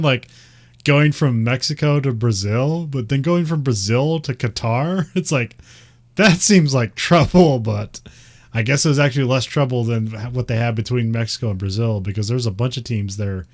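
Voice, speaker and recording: A man's voice: mid-range voice, moderate pace. A man with a Midwestern American accent, chatting casually and rambling, with frequent laughs and fillers. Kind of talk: casual chat about racing.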